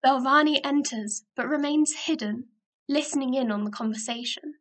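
A woman's voice: sits high, moderate pace, fairly bright tone. A woman reading stage directions as scripted narration for a radio play.

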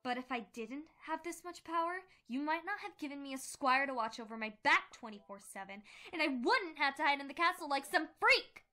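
A woman voicing a moody sixteen-year-old pony princess in a cartoon, expressive and sulky. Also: high-pitched, a little fast, few pauses. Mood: frustrated.

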